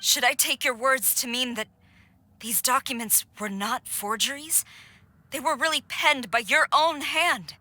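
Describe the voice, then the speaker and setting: Stern, formal young general. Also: female voice, high voice, unhurried, sharp tone, full character voice. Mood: anguished. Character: stern, loyal young woman general, steely. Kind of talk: video game voice acting.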